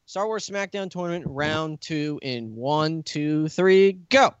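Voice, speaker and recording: A man co-hosting a casual podcast, joking around with a shrill voice. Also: takes it slowly, smooth, dry recording, lo-fi sound. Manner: joking, does mock deep voices. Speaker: podcast co-host.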